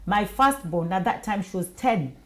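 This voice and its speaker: A woman hosting a casual panel talk, telling stories with lively acted-out voices. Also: medium pitch, moderate pace. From Kenya. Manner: animated storytelling, acts out voices. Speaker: talk show host.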